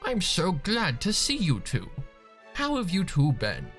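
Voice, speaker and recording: A theatrical storybook creature playing the gracious host, voiced by a man. He is effusive and polite, with slow, drawn-out phrases and swooping pitch over soft music. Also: wildly swooping pitch, full character voice. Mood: welcoming.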